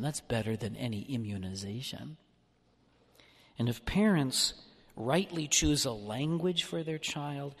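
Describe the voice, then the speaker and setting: A man preaching a homily with a lively, wide-ranging delivery that rises and falls through each phrase. His voice carries through a church with a long, ringing reverb. Now and then he slips into a mock-whining tone to make a point. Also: a little high. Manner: animated, mock-whining when playing an upset child. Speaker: priest or deacon preaching a homily. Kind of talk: sermon.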